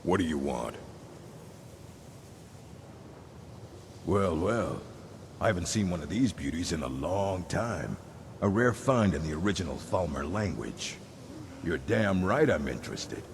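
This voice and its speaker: Game role: blunt, bookish old orc man, very low register, dry delivery, noticeable rumble underneath. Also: big pitch swings, normal speed, heavily acted. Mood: gruff, interested. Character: gruff old male orc librarian, shrewd and bookish. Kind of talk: video game dialogue. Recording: clear low rumbling background noise.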